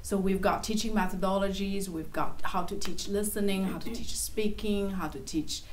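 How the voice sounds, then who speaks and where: A woman's voice: medium pitch, fairly even intonation, normal speed, slight background noise, poor audio. A woman in her thirties from China, an English teacher speaking with enthusiasm and plenty of fillers in a casual group interview. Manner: chatty, enthusiastic, frequent fillers. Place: bright room with large windows, indoors.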